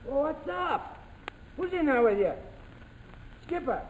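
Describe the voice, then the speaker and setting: An actor playing a brash, cheeky sergeant sidekick in 1940s radio drama style, loud and rowdy. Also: male voice, extremely high pitch, expressive, slow pace, heavily acted. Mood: insistent. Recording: noisy, echoing vintage radio recording.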